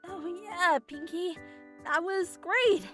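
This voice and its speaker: A young cartoon pegasus mare, performed by a woman, very high and sharp in tone. She is casual and cool but easily flustered, protesting slowly and haltingly in a storybook reading. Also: faint music behind, exaggerated voice. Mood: casual, sated.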